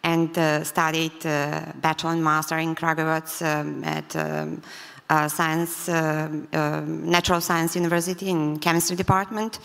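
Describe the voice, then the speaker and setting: A woman with a Serbian accent talks in a low voice with little melodic movement. She is improvising a personal account at a conference, pausing and searching for words. Long hall reverb surrounds her. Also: flat delivery, vocal fry, fairly bright tone. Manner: hesitant, frequent pauses and fillers. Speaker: conference speaker, entrepreneur. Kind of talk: spontaneous personal talk. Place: large indoor conference hall.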